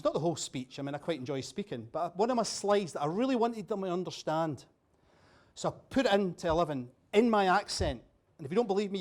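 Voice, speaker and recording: A Scottish man with a fairly high voice gives a keynote at a dinner, chatty and quick with a joke. He keeps throwing questions at the audience. He is miked up in a venue with a bar. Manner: chatty, jokey, quizzing the audience. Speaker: keynote speaker. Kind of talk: humorous after-dinner talk.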